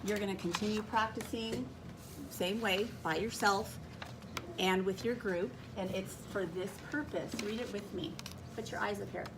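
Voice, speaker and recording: A woman schoolteacher speaks at a middling pitch over clear classroom noise. She gives step-by-step instructions in an instructive way and keeps putting questions to her pupils. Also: fairly even intonation, normal speed, far from the mic. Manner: instructive, checks understanding with frequent questions. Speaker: elementary school teacher. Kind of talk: classroom teaching, giving instructions and asking questions.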